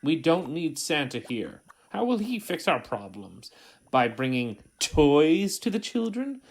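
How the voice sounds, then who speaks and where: A man's voice: fairly high pitch, very animated, a little slow, heavily acted. A man playing a grumpy, belligerent Martian villain with a pompous, sneering delivery in a comic audio drama. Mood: indignant. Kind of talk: comic sci-fi radio play acting.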